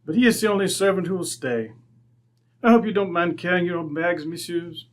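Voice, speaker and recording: Man as a faded French aristocrat, French accent, courtly, proud, fairly high voice, radio play. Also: natural voice. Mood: wistful, polite. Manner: formal, courtly, halting English. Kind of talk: old-time radio drama acting.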